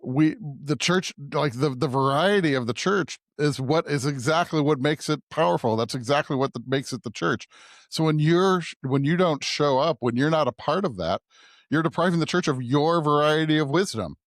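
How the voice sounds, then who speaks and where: A man's voice: fairly high pitch, normal speed, dry recording. A man co-hosting a podcast in casual conversation, thinking aloud and often checking in with his listener. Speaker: podcast co-host.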